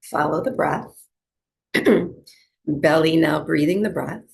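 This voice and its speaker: Female meditation guide: very unhurried, gravelly edge, soft soothing instruction for breathwork and rest. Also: low-pitched, rough recording. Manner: soothing, gentle, unhurried instruction with long pauses. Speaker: yoga and breathwork teacher.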